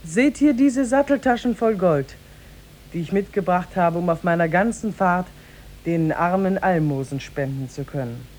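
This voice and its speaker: A woman's voice: lowish voice, lively intonation, moderate pace, a little mellow, natural voice. Radio play, 1950s: actress as young disguised female ruler, regal, formal, commanding declamation. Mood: proud, composed. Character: young former slave woman ruling as disguised sultan, commanding.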